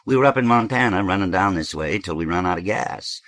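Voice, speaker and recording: A man's voice: low voice, lively intonation, brisk, fairly bright tone, dry recording. A man voicing a friendly cowboy truck driver with a folksy, eager, colloquial way of talking, as dialogue in an audiobook. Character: stocky young blond drifter, friendly cowboy truck driver.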